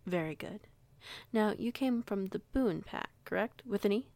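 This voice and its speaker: A woman playing a courteous, gently authoritative werewolf pack leader in an audio roleplay, with formal, measured wording. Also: medium pitch, expressive, no room sound, lightly acted. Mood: gently probing.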